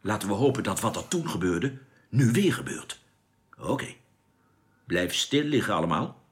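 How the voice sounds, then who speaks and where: An actor playing an anxious but commanding spaceship captain in a science fiction radio drama, giving urgent, clipped orders. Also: male voice, lowish voice, sing-song, moderate pace. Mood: tense, commanding.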